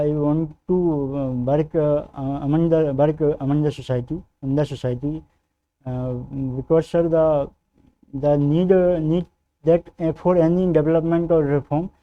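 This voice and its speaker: A young man from northern India, a native Hindi speaker with a North Indian accent, answering formal interview questions as a candidate in a halting, deferential way. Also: on the high side, fairly even intonation, warm tone, dull audio. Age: young adult. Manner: hesitant, halting, deferential, often says sir. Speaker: civil service candidate in mock interview.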